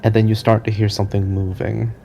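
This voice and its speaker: A man narrating a tabletop game as game master, improvising suspensefully with pauses in mid-sentence. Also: lowish voice, flat delivery, creaky, over music.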